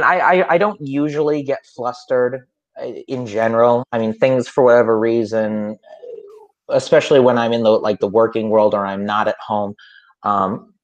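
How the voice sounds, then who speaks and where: Nasal-voiced man in muffled lo-fi audio. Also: medium pitch. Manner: rambling, hedging, many fillers and restarts. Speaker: music teacher and podcast co-host. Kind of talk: casual podcast chat.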